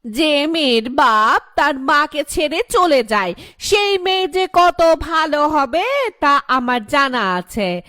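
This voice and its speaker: A spiteful middle-aged housewife from an animated drama, acted by a woman. Her voice is high and slow, recorded dry and close, with a scornful, complaining delivery. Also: no echo, heavily acted. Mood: bitter, spiteful. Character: spiteful older housewife, bitter mother-in-law. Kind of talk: animated horror story drama dialogue.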